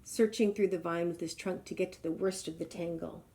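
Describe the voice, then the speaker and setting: A woman's voice: on the low side, steady tone, fairly quick, vocal fry, dark tone. A woman reading a children's storybook aloud expressively. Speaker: storybook reader.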